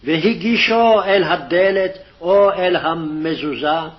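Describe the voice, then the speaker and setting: A man's voice: on the high side, fast talker. A man formally reciting scripture phrase by phrase, on a dull, lo-fi archival recording. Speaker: scripture reader. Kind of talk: scripted Hebrew Bible reading.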